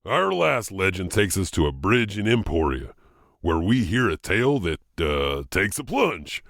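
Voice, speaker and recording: A man's voice: low-pitched, big pitch swings, a little slow, creaky, fairly bright tone, no echo, studio quality. A man hosting and narrating spooky legends in a theatrical, wry, scripted storytelling manner. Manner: theatrical, wryly punning. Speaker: host and narrator of a horror legend anthology.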